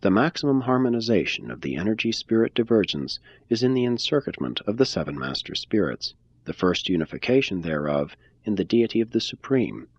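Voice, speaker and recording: A man giving a measured, phrase-by-phrase scripted reading of a religious text. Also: deep voice, breathy edge, no room sound, highs cut off. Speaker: reader.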